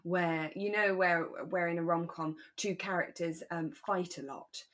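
Low-pitched woman, chatty and reflective. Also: fairly even intonation, unhurried, fairly bright tone, a little hiss or hum. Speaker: podcast co-host. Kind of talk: casual discussion of a radio drama.

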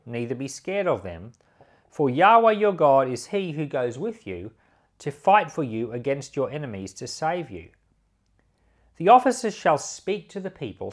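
A man, a pastor, reading scripture and giving devotional commentary in a measured, emphatic delivery. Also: fairly high pitch.

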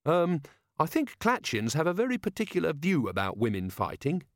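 A man's voice: a little high, huge pitch swings, moderate pace, dry recording, studio quality, voice pushed up. A man voicing an earnest young soldier who explains things in an eager, deferential, polite way. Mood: awkward.

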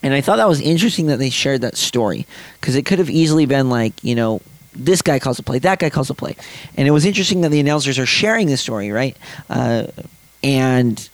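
A young man in his twenties hosting a casual sports podcast, his voice a little raspy from late nights, rambling with many fillers and restarts. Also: medium pitch, animated, fairly quick, no echo. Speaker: podcast host.

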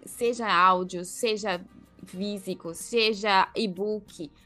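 A woman's voice: medium pitch, steady tone, takes it slowly, pushed and bright, soft background music. A Brazilian woman hosting a casual podcast discussion, explaining things and speaking directly to listeners. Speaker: podcast host.

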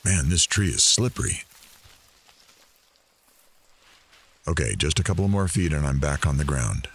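A man acts out a furtive snoop in an audio drama, with an extremely low voice. His delivery is conversational, as if thinking aloud, and moves up and down in pitch with plenty of life. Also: lively intonation, brisk, slight background noise. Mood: nervous, muttering. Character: furtive adult man snooping on people, muttering to himself.

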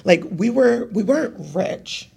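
A woman from Cameroon, a podcast guest telling her life story in a casual, animated way with some laughter. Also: a little high, measured pace, gravelly, husky.

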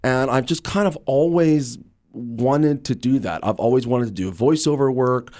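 A man from Louisiana speaking as an actor guest in an interview, telling stories in an enthusiastic, conversational and animated way. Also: mid-range voice, moderate pace. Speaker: interview guest, actor and radio drama creator.

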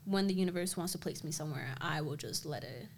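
A woman's voice: low-pitched, quick pace, a little hiss or hum. Laughing young woman in casual chat. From Dominican American, grew up in the US. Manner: rambling, laughs often, lots of "like". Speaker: co-host.

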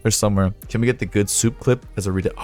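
Hoarse raspy guy on a livestream, lowish voice, rambly and sarcastic, faint music under him. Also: steady tone, fairly quick, darkish tone. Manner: rambling, sarcastic, swears, reads aloud to chat. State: hoarse, sore voice. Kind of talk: casual livestream chat with viewers.

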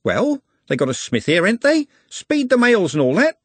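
Casting: cheery, worldly coach driver, wry patter, swinging pitch, voiced by a man. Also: huge pitch swings, normal speed, no room sound, full character voice. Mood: cheerful. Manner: chatty and wry, with a raised, bright delivery. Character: cheerful, worldly middle-aged male night-bus driver.

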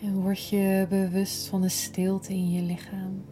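Slow, mellow woman guiding meditation. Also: medium pitch, completely flat delivery, faint music behind. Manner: soothing, unhurried, gentle, breathy at times. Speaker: yoga nidra guide. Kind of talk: slow guided relaxation meditation.

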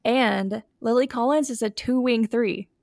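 A woman's voice: a little high, a little slow, creaky, darkish tone, no echo, clean studio sound. A young adult woman hosting a casual podcast chat, her voice scratchy and a bit sniffly from allergies, with lots of fillers. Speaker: podcast host.

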